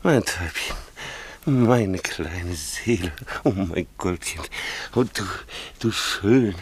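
Radio drama: domineering, doting small-town trader, very husky, slow and halting. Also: male voice, deep voice, expressive, exaggerated voice. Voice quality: husky, breathy. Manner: slow, halting, murmured endearments. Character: doting, domineering hunchbacked village trader and father figure. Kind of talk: German radio drama acting.

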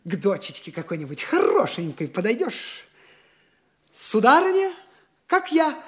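A young, penniless dandy in a theatrical audio play. His voice sits high and swings freely up and down, excitable and boastful, with a whining, wheedling edge. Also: measured pace, breathy edge, exaggerated voice. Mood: boastful, pompous. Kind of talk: theatrical audio drama acting.

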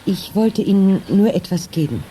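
An actress playing a timid, apologetic old grandmother in a children's radio play, speaking haltingly and humbly. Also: mid-range voice, animated, warm tone, a little hiss or hum, natural voice, rough recording. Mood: humble, embarrassed. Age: older.

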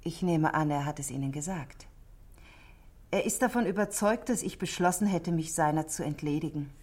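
A woman's voice: low-pitched, normal speed, darkish tone. An actress in a 1960s German radio play, playing a composed, middle-aged married woman who speaks politely and articulately, confiding her worries. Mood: earnest, worried.

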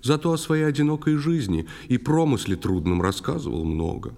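Guy narrating a fairy tale poem, medium pitch, big echoey hall sound. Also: very animated, moderate pace, gravelly, mellow. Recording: long reverberant hall echo.

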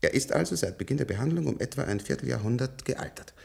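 An actor in a German radio play, playing a weary, very old scientist who talks hesitantly and reflectively in a husky, gravelly voice. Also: male voice, mid-range voice, animated, quick pace, darkish tone. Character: weary, very old scientist with a longevity-treated middle-aged body.

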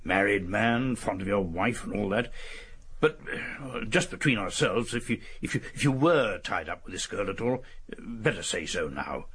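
A man's voice: lowish voice, animated, moderate pace, a bit bright, slightly rough. An actor playing an awkward, probing, middle-aged upper-class English chief constable in a radio drama, hemming as he questions. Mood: awkward, confidential. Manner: hesitant, hemming, man-to-man.

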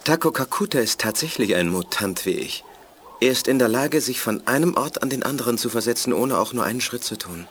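An actor playing an alert, excitable telepath recruit who is easily amazed, in a German sci-fi radio play. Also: male voice, mid-range voice, a little fast, natural voice. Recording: loud vehicle and ambient effects noise.

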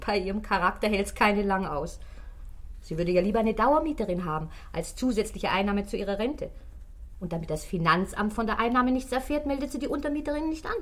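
Eine Schauspielerin spielt in einem deutschen Hörspiel eine geschwätzige, ausweichende Concierge, die zögernd und vertraulich redet. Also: female voice, mid-range voice, lively intonation, fairly quick, lightly acted. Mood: guarded, gossipy. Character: gossipy, evasive, money-minded Parisian concierge woman. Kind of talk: German radio play acting.

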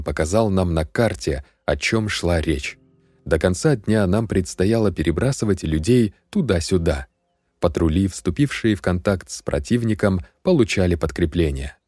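Deep, animated male audiobook narrator. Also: breathy edge, no echo. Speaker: audiobook reader. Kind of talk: scripted first-person narration.

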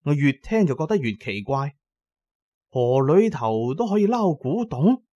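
A man's voice: a little high, very animated, unhurried, mellow, no room sound, dull audio. A man narrating a scripted first-person story in a dramatic storytelling manner. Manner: dramatic storytelling, voicing characters' lines.

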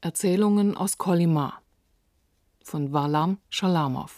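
A woman radio announcer reading scripted credits in a measured, formal way. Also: lowish voice, a little mellow.

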